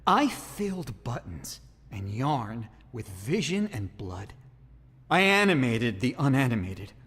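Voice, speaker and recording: An actor playing a theatrical, agitated ventriloquist and murder suspect in an audio drama, dramatic and sarcastic. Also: male voice, mid-range voice, sing-song, slow, a bit bright, breathy edge, full character voice. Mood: solemn, confessing. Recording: long reverb, music underneath.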